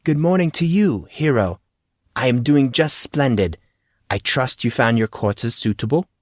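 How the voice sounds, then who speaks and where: A man voicing a brisk, formal, wry male schoolteacher with pompous, precise wording in an audiobook. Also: mid-range voice, expressive, no room sound, studio quality, lightly acted. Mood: jovial, formal.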